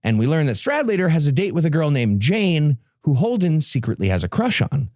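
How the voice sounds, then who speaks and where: A man's voice: on the high side, sing-song, a little fast, a little mellow, no room sound, clean studio sound. A man hosting a comedy podcast, giving a sarcastic, profane recap to his co-hosts. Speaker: podcast host.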